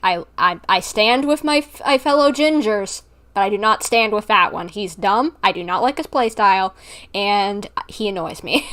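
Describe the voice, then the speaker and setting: A woman livestreaming a game with casual, chatty commentary and an excitable manner. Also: medium pitch, normal speed.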